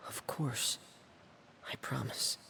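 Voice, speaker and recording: Man acting a slow, subdued teenage boy. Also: whispered, close to an everyday voice. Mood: quiet, earnest. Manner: hesitant, subdued, quiet. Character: shy, glum, soft-spoken teenage boy, loyal younger brother. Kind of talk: anime game voice acting.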